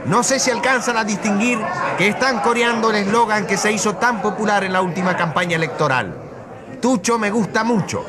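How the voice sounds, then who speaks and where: A woman acting an excited live radio reporter in a radio drama, speaking urgently and projecting, sometimes breaking off and repeating herself. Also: mid-range voice, a little fast, natural voice. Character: excited female radio reporter amid a packed crowd. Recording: loud crowd noise behind. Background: noisy crowd.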